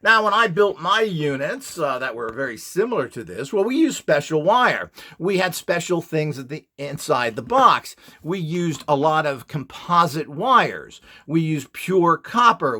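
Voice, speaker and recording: A man's voice: fairly high pitch, big pitch swings, a little slow, few pauses, pushed and bright. A man giving an informal, opinionated lecture in a blunt, scornful and emphatic manner, as a presenter selling devices. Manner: rhetorical questions, mimicked quotes. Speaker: presenter and seller of radionics devices.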